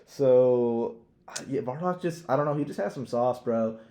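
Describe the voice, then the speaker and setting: A man's voice: medium pitch, normal speed, warm tone. A man chatting casually as a podcast co-host, with an emphatic, slangy, sweary way of talking. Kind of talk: casual chat ranking favourites. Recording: echoey room.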